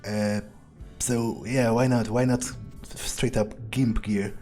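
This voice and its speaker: A man plays a game in character, musing aloud with sardonic hesitation. He speaks very slowly in a dark, mellow voice, with faint music in the background. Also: medium pitch, little pitch movement. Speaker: game player roleplaying a character.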